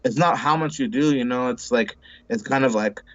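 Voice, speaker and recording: A man's voice: mid-range voice, moderate pace, dry recording, highs cut off. A man in his forties, talking casually as an interview guest, rambling with lots of restarts and repeated words, and calling people bro and dude. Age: 40s. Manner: laid-back, says bro and dude a lot. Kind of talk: casual conversation.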